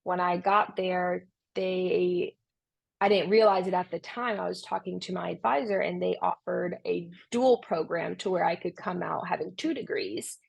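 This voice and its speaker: A woman's voice: on the low side, moderate pace, gravelly, husky. A young adult woman from Romania, a tutoring business founder, answering interview questions in an earnest way with many restarts. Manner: earnest, with frequent restarts and fillers.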